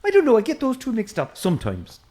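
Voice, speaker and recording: A woman voicing a chatty, excitable Irish priest, a short man who rambles eagerly in an Irish accent in a comic audio drama. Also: a little high, fast talker. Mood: rambling, curious. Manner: rambling, eager, easily distracted.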